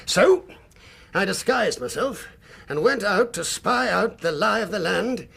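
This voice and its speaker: A blustering older English colonel in a radio play. His voice is husky and cracked, swinging widely in pitch. He talks with pompous military heartiness and doting pride. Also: animated, measured pace, fairly bright tone, exaggerated voice. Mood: hearty, boastful. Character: proud, blustering older British army colonel, doting husband. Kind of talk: radio drama acting.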